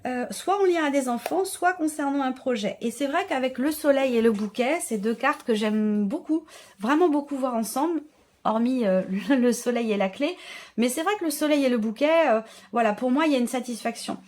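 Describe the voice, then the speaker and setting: A woman's voice: high-pitched, normal speed, darkish tone. A woman giving an informal tarot reading to her viewers, reflecting and rephrasing as she interprets the cards. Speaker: tarot reader and channel host.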